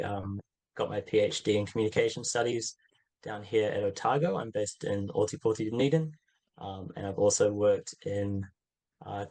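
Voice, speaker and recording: Easygoing low-voiced New man. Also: darkish tone, low-quality recording. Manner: easygoing, hesitant with fillers. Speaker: documents consultant. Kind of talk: casual self-introduction on a video call. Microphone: video call.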